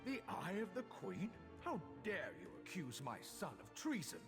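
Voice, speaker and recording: An actor playing a proud, indignant elf magistrate and father in a video game, husky and pompous. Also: high voice, huge pitch swings, normal speed, over music, heavily acted. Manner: pompous, dramatic. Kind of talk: video game character dialogue. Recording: long hall reverb.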